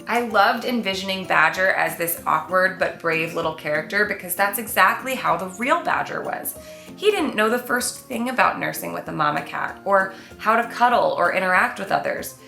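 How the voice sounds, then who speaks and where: A woman, a children's author, reading and presenting her own storybook in an expressive read-aloud style. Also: mid-range voice, creaky. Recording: roomy echo, faint music behind.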